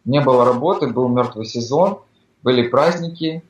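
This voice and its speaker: A man's voice: medium pitch, moderate pace. A man chatting casually as a guest in an interview, explaining at length with lots of fillers. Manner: relaxed, explanatory, with frequent fillers. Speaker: guest living abroad. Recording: echoey dull remote audio.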